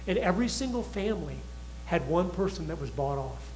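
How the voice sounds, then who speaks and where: Guest man, fairly high voice, urgent and emphatic delivery, faint hum behind. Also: sing-song, brisk, a little mellow, poor audio. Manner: urgent, emphatic, with restarts and retold quotes. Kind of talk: impassioned talk show monologue.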